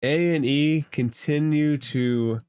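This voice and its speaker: Slow, measured game master, dry close sound. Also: mid-range voice, darkish tone, clear, resonant tone, no room sound. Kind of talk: narrating a tabletop game.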